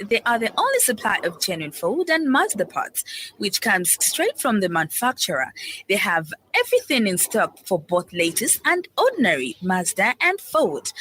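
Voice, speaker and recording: Woman in a radio commercial, super lively and bright, upbeat recommender. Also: medium pitch, a little hiss or hum, low-quality recording. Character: enthusiastic woman recommending a car parts supplier. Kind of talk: scripted radio advert dialogue.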